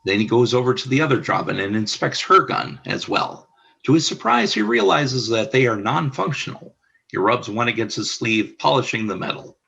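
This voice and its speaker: A man's voice: medium pitch, very animated, normal speed, gravelly, sharp tone, slightly rough, dull audio. A man reading the stage directions of a radio play in a plain, matter-of-fact way. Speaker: narrator.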